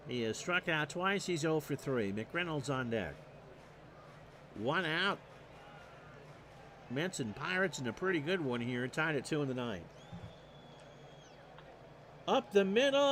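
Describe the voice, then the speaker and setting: A man doing solo play-by-play commentary on a replayed baseball game. He reads off stats with dry asides and gets louder on the big plays. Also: a little high, slow, long pauses, a little hiss or hum. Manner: stat-heavy, dry asides, rising excitement on big plays. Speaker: play-by-play announcer.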